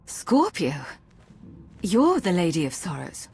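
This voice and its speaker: A shrewd female agent with a lowish, husky voice. She is composed and dry, speaking with clipped control as a commander in a video game. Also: wildly swooping pitch, takes it slowly, a little mellow, full character voice. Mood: surprised. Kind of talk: scripted video game acting.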